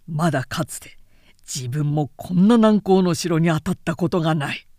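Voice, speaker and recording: A woman voicing a bold, proud male warlord in an audiobook, with forceful, declamatory, archaic military speech. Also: sits low, moderate pace, no room sound, clean studio sound, close to an everyday voice. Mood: resolute.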